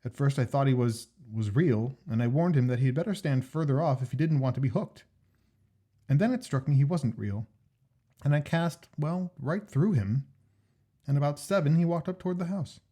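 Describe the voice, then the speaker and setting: A man voicing a breezy, keen and unafraid gentleman sportsman in a storybook, with a brisk, eager, nonchalant manner. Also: mid-range voice, pitched above the performer's own voice, natural voice. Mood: keenly interested. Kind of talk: storybook character dialogue.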